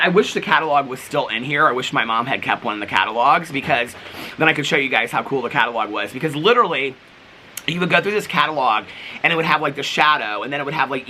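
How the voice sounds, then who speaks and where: Bright, forceful-voiced man chatting to viewers. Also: medium pitch, a little hiss or hum. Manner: animated, rambling, gushing. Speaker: vlogger. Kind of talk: casual, chatty vlog talk to viewers.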